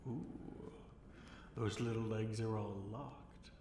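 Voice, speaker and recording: A man playing an obsessive, seductive fallen dragon king held captive, speaking in a slow, teasing, theatrical purr for an intimate ASMR roleplay. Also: on the low side, steady tone. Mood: obsessed, tender. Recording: roomy echo with faint noise.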